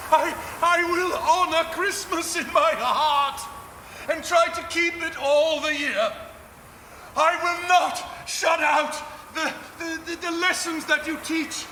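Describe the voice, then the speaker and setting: High-pitched, pleading old miser. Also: male voice, steady tone, sharp tone, a little hiss or hum, heavily acted. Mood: desperate pleading. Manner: theatrical, halting, pleading. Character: frightened, repentant old male miser pleading with a spirit. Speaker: lead stage radio actor. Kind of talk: live radio theater acting. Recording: reverberant hall, music behind.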